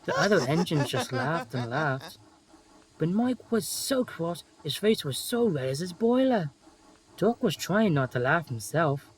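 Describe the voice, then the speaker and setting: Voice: mid-range voice, big pitch swings, unhurried, husky. A narrator reading a scripted children's story in storybook style.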